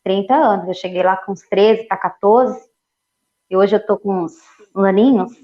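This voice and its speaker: Lively woman in her forties, lo-fi. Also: mid-range voice, moderate pace. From Ceará, Brazil, raised in São Paulo. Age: 40s. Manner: lively, laughs easily, repeats phrases. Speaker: nutritionist guest. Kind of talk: casual interview.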